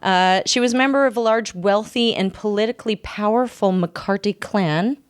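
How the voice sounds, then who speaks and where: A woman podcast host with a smooth, clear voice in a close, dry recording. She chats casually and tells her story in an informal, joking manner. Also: mid-range voice, moderate pace, no room sound. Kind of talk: casual chat with storytelling from notes.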